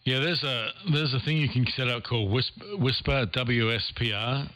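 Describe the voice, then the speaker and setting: A man's voice: mid-range voice, steady tone, moderate pace, bright, forceful tone, dry recording. A man talking casually as an amateur radio operator, thinking aloud and repeating phrases as he goes. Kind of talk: casual ham radio contact.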